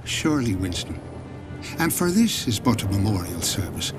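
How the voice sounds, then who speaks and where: A low, solemn old gentleman. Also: big pitch swings, moderate pace, over music. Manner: solemn, musing. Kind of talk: video game cutscene acting.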